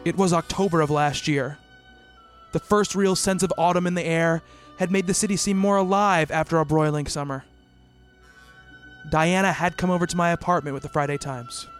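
Casting: male actor as bookish, devoted gentleman doctor, high-pitched voice, measured storyteller, radio drama over music. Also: fairly even intonation, close to an everyday voice. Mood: wistful narrating. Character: earnest, bookish male doctor, devoted fiancé narrating his tale. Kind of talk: radio play narration and dialogue.

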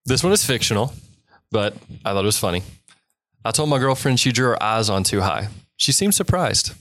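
A man hosting a staff joke challenge, calling turns and telling dry one-liners in a relaxed way. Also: fairly low pitch, fairly quick. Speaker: host of the challenge. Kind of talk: moderating, casual chat.

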